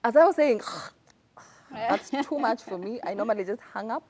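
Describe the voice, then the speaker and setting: A woman co-hosting a casual chat, relaxed and a little coy, mostly answering in short sentences. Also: on the high side, moderate pace. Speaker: co-host.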